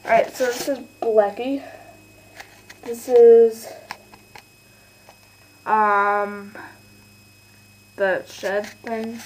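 A young woman giving a casual home video tour, halting and full of fillers, filmed on a handheld camera in her bedroom. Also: on the high side, level tone, darkish tone, rough recording. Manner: chatty, filler-heavy, halting. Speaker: channel owner giving a toy stable tour. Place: bedroom with toy horse stables.

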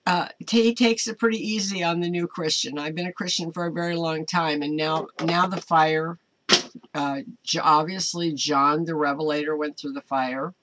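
An older American woman giving an informal devotional talk to camera in a halting, earnest manner, recorded in a small home office. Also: low voice, steady tone, fairly bright tone, a little hiss or hum, rough recording. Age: older, 60s or 70s. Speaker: online ministry host.

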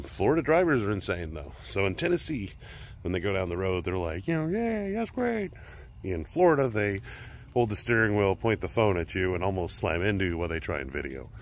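A man in his forties vlogging a road trip, talking casually and upbeat into a handheld camera, outdoors and in his car. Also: deep voice. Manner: relaxed, rambling, upbeat. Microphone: phone or action camera at arm's length. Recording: faint outdoor and traffic noise.

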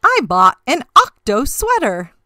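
A woman's voice: high voice, a little slow, music in the background, heavily acted. A woman voicing a puzzled male octopus telling his tale in sing-song picture-book rhyme. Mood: realizing, pleased. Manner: expressive, sing-song rhyming delivery. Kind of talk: rhyming picture-book read-aloud.

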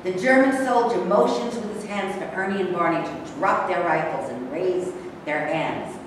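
A woman's voice: lowish voice, moderate pace. A woman reading stage directions as scripted narration. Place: bare rehearsal room, camera at a distance. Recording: echoey hall from far off, clear noise.

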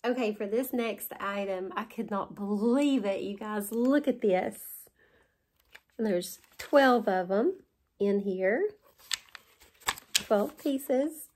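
A woman's voice: medium pitch, slow, long pauses, darkish tone. A woman in her forties from West Virginia with a Southern accent, chatting and gushing over items she bought, laughing often, filmed in her living room. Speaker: haul vlogger. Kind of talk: casual show-and-tell haul. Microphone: camera in front at close range.

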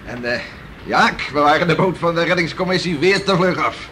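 Hardy, bluff beachcomber husband, slightly high voice, emphatic, noisy vintage radio drama. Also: male voice, brisk, pushed and bright. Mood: boastful, emphatic. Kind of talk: 1960s radio play acting. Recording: noisy old radio play recording.

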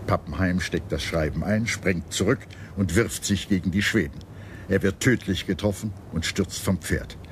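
A man narrating a scripted history documentary in a measured, serious manner. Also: low voice, slight background noise.

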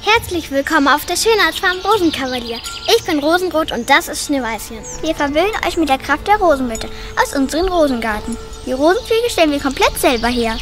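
A woman acting in a children's radio play in a lively, eager way, with music behind her. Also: high voice, fairly quick, few pauses. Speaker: amateur radio play actor.

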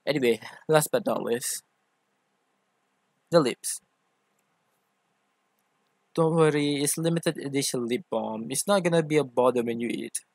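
A man voicing a playful, doting, easily jealous femboy boyfriend in an ASMR roleplay, with a teasing, expressive delivery. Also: medium pitch, creaky, no echo. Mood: teasing, soothing. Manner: teasing, gushing, expressive, drawn-out emphasis. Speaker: ASMR voice actor.

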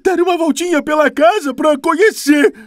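A man's voice: very high-pitched, moderate pace, no room sound, clean studio sound. A man with a Brazilian accent voicing a grumpy, easily flustered dog in a children's cartoon, exaggerated and stammering when flustered. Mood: awkward. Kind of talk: animated children's cartoon dub.

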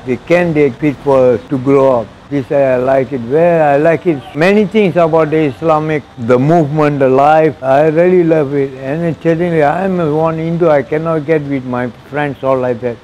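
A man's voice: fairly high pitch, normal speed, few pauses, darkish tone, highs cut off, a little hiss or hum. A man in his sixties with a Malaysian English accent, giving an earnest personal testimony in broken, repetitive English on a lapel mic in an outdoor mosque walkway. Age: 60s. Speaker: interviewee.

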